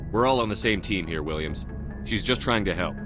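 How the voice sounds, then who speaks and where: An actor playing a principled military commander in a video game, firm, measured and authoritative. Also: male voice, deep voice, lively intonation, music in the background. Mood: firm, calm. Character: steady, principled male military commander, human. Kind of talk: video game cutscene acting.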